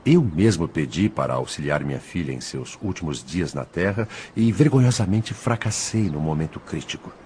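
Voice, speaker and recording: A man's voice: very deep voice, animated, normal speed, hardly any pauses, darkish tone, slight background noise. A Brazilian man acting an emotional, indignant spirit father in a dramatized audiobook. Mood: contrite. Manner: emotional, theatrical. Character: indignant, anguished spirit father protective of his daughter.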